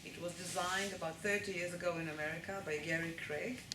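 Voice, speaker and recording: A woman with an Israeli accent lectures to a live audience and keeps checking in with them as she goes. Her voice rings around an echoey room, with steady noise underneath. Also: fairly low pitch, fairly quick.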